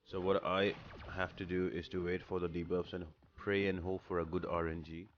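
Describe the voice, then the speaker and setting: A man's voice: low-pitched, little pitch movement, moderate pace, dull audio, a little hiss or hum. A man hosting a game guide, explaining tactics in casual walkthrough commentary. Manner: explanatory, instructional. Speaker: gaming guide host.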